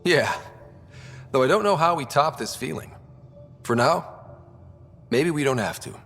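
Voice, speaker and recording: An actor playing a young, easygoing and wry explorer hero in a video game, with a husky voice. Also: male voice, a little high, lively intonation, measured pace, fairly bright tone, in a large hall, soft background music, heavily acted. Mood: upbeat, teasing.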